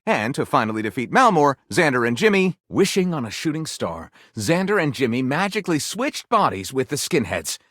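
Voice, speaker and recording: A melodramatic male storyteller for a video game, spins pulpy adventure tales as grand theatre. His pitch swings widely and he stretches out suspenseful pauses with overwrought relish. The recording is close and dry. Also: fairly bright tone, dry recording. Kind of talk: scripted dramatic narration for a video game.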